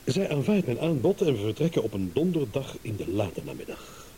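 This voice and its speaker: An actor playing a smug, shrewd, eloquent gentleman in a radio drama, with mock courtesy. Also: medium pitch, very animated, brisk, lightly acted. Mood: measured storytelling. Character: smug, shrewd gentleman savouring a battle of wits. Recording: hissy old radio play recording.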